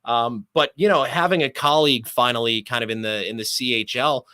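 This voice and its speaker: A man's voice: medium pitch, steady tone, brisk, sharp tone, no room sound. A man who works as a sports announcer, chatting casually and at length as an interview guest, telling anecdotes in a fluent, talkative way.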